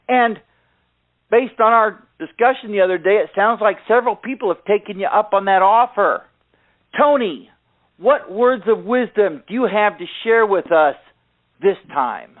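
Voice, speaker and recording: High-pitched man on a tinny phone line. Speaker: host of a sales conference call. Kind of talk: scripted motivational introduction of a top seller.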